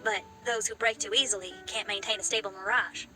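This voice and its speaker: A woman's voice: medium pitch, steady tone, fairly quick, over music, natural voice. A woman playing a confident, casual young woman in a video game, with a blunt way of talking. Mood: assured.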